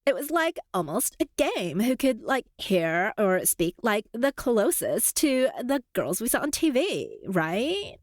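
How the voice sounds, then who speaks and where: Upbeat woman podcast host, lively pitch. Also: slow, few pauses, dry recording, studio quality. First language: Cantonese. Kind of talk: scripted podcast monologue.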